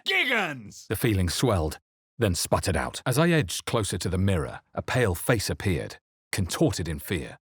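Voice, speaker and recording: A brooding fantasy game hero with a deep voice and a husky edge. He is grave and wry, speaking in measured, theatrical phrases that move widely in pitch. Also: a bit bright, no room sound, clean studio sound, exaggerated voice. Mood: forceful incantation. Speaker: video game voice actor. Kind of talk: dramatic fantasy game narration and character barks.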